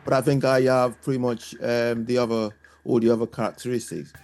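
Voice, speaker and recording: A man's voice: mid-range voice, level tone, a little fast, a little mellow, faint music behind. A man co-hosting a podcast in casual chat, answering hesitantly with bashful laughs. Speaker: podcast co-host.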